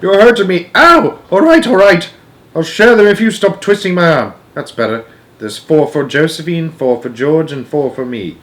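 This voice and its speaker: A man voicing a greedy, bossy old grandmother who shouts and squabbles in a storybook reading. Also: a little high, normal speed, pitched above the performer's own voice. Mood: pained, grudging. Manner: excited shouting, quarrelsome.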